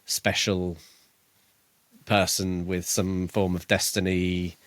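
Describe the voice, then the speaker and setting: Low-voiced man musing haltingly. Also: level tone, fairly bright tone, no echo. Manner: tentative, musing, halting delivery. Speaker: podcast co-host. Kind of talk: casual book discussion.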